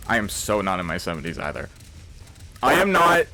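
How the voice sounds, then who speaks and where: A young man in his twenties hosting a live game stream, his voice sitting on the low side. He reads chat aloud and answers in loose, slangy banter, swearing freely and laughing between remarks. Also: sing-song, fairly quick, bright, forceful tone. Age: 20s.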